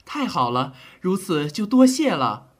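A man's voice: high-pitched, very animated, a little slow, slight background noise. A man playing an earnest, polite army physician in an ancient Chinese audio drama, with a hesitant, courteous way of talking.

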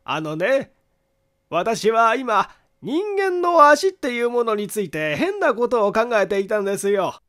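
An eccentric man, acted out by a male reader in an audiobook story. His voice sits very high and swings around in pitch, with an amused, tactless air as he draws out each thought. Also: big pitch swings, fairly bright tone, full character voice. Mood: amused, musing. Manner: chuckling to himself, drawn-out storytelling. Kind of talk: dramatized audiobook reading.

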